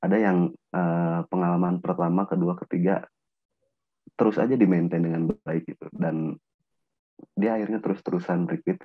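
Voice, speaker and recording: Low guy from around talking business casually, tinny dry phone-line audio. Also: steady tone, moderate pace, long pauses, slightly rough, no room sound. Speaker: business owner, podcast guest. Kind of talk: casual chat.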